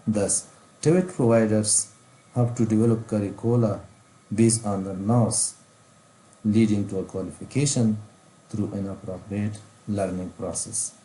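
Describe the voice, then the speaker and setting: A middle-aged man with an Afghan accent reads out a formal training lecture in a deliberate, phrase-by-phrase way. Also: fairly low pitch, steady tone, warm tone, low-quality recording. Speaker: vocational training specialist. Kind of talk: scripted lecture narration over slides. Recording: faint background noise.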